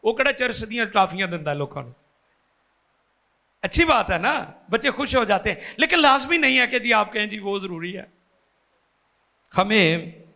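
An impassioned pastor in his forties preaches into a handheld mic on a church stage. His voice is high and bright, a gravelly edge, and he swells into loud, fervent peaks. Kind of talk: sermon. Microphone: handheld microphone.

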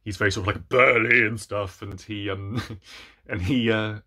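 A man in his thirties chatting casually to camera as a book vlogger, playful, rambling and animated, with self-deprecating asides. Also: on the low side, normal speed. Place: small room at home with bookshelves.

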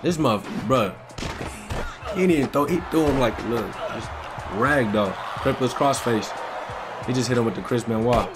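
American man reacting over loud music. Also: mid-range voice, moderate pace. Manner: slangy, informal. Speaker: gaming video host. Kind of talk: casual reaction commentary.

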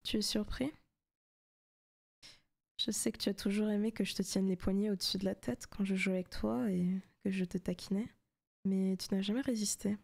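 Soft, teasing demon girlfriend acted by a woman. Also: mid-range voice, steady tone, moderate pace, gravelly. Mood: taunting, possessive. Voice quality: soft, often breaking into whispers. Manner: teasing, coaxing, possessive. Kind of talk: intimate ASMR roleplay.

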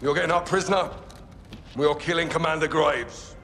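High-pitched, fired-up soldier. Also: male voice, fairly even intonation, brisk, in a large hall, slight background noise. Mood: resolute, rousing. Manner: forceful, declarative. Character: fired-up soldier rallying his team for battle. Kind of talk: cinematic game acting. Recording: music under the voice.

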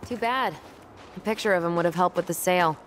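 A woman's voice: on the low side, a little fast, a little hiss or hum, lightly acted. An actress playing a dry, guarded, teasing young American woman in naturalistic video game dialogue. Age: young adult.

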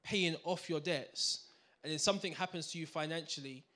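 A man's voice: on the high side, normal speed. A man, giving a finance talk to a live audience on a handheld mic and checking in with them all the time. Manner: animated, checks in with the crowd with "right? yeah?". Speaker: finance speaker. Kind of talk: lively seminar talk to an audience. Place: community hall with audience. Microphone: handheld microphone.

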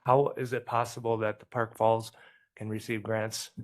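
A man asking blunt, halting questions as a board member in a meeting. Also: lowish voice, level tone.

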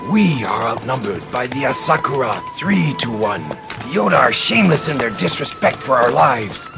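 An actor playing a loyal, frank samurai retainer in an English game dub, formal, measured and earnest. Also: male voice, mid-range voice, big pitch swings, gravelly. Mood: urgent counsel. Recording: dramatic music behind the voice.

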